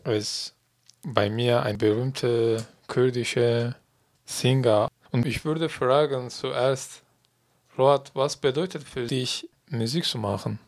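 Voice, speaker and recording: A man's voice: mid-range voice, steady tone, slow. A man interviewing a singer, asking short, simple questions.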